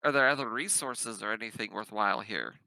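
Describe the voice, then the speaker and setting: A man with a very sharp, clear voice plays a tabletop game in casual character talk. His pitch is medium and the sound is dry. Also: brisk, no echo.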